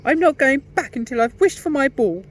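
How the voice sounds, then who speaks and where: A man's voice: extremely high pitch, lively intonation, brisk, pitched above the performer's own voice. A man voicing a stubborn little girl princess in a storybook reading. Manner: wailing, stubborn.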